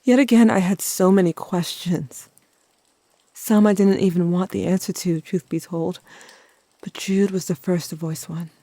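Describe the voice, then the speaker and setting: Actor playing grieving teen narrating an audio drama, soft mellow tone, very dry close recording. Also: mid-range voice, fairly even intonation, measured pace, no room sound, close to an everyday voice. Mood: reflective.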